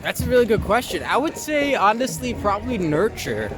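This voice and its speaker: High-pitched young American man, casual and halting. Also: very animated, music in the background. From Long Island, United States. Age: young adult. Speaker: actor being interviewed. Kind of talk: casual conversational interview answers.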